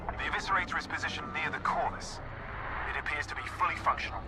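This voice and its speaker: A man's voice: a little high, quick pace, noisy background, lightly acted. A man playing a dutiful starfighter pilot in a video game, reporting tersely and seriously to a commander. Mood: tense reporting. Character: dutiful male Imperial fighter pilot, serious subordinate. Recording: loud music, artillery and engines behind.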